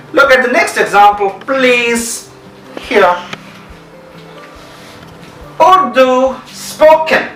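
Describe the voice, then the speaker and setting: A male teacher with a Pakistani English accent explains grammar in a lively, rising-and-falling voice that sits slightly high and sounds bright. He talks slowly and keeps checking whether the class has understood. The recording is echoey and the mic is set back, with faint music underneath. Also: distant mic. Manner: repetitive, checks understanding with questions.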